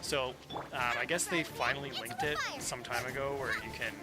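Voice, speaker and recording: A man with a mid-pitched voice chats casually as he streams a video game. He rambles in an easygoing, slangy way, with loud game music behind him. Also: moderate pace. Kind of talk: casual livestream chat while playing. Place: home office. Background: loud video game music and sounds.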